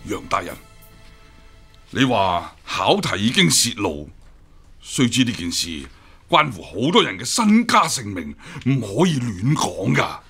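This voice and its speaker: A male actor with a Hong Kong Cantonese accent playing a guarded, smooth senior imperial examiner, formal and quick to take offence, in a radio drama. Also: fairly low pitch, huge pitch swings, a bit bright, soft background music, full character voice. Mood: cautious, persuasive.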